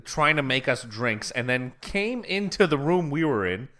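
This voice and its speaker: A man's voice: mid-range voice, sing-song, normal speed, pushed and bright. A young man co-hosting a casual podcast, rambling with restarts and frequent laughs. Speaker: podcast co-host.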